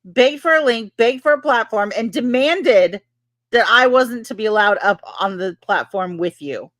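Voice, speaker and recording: A woman's voice: fairly high pitch, animated, normal speed, a bit bright, no echo, studio quality. A woman co-hosting a livestream, talking in a sarcastic, profane, squeamish way. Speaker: livestream co-host. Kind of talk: casual livestream banter.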